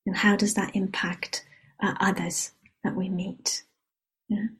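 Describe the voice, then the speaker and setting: Soft breathy woman leading meditation. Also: medium pitch, level tone. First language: Hebrew. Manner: soft, unhurried, often adds a questioning "yeah?". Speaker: meditation teacher. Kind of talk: guided dharma reflection. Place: meditation retreat hall.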